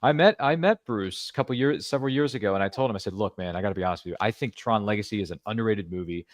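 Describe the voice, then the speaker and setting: Man, podcast host, low voice, dry close sound, chatty and rambling. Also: rapid-fire, dry recording. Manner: chatty, rambling, playful asides. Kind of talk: casual interview chat.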